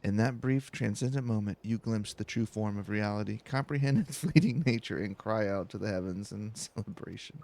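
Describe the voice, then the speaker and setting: A man hosting a calm video game let's play, reading story dialogue aloud in a relaxed, unhurried way. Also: fairly low pitch, vocal fry.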